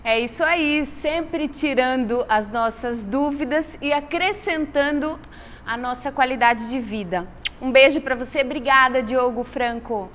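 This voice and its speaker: A woman hosts an interview show, asking her guest questions with enthusiasm. Her voice is high and her delivery slow and unhurried, with a little noise behind her. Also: fairly bright tone, in a large hall. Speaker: TV show host. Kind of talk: interview host.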